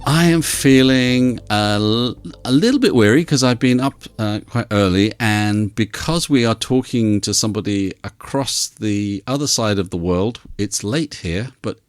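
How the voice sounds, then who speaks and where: Weary low-voiced male podcast host. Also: measured pace, no room sound, faint music behind. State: tired. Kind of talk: scripted intro, then casual chat.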